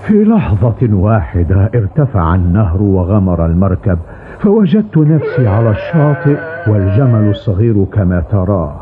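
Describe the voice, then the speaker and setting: An actor playing a fearful, pious old boatman in a dubbed cartoon, talking in a lamenting, fretful way. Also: on the low side. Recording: tinny band, background noise.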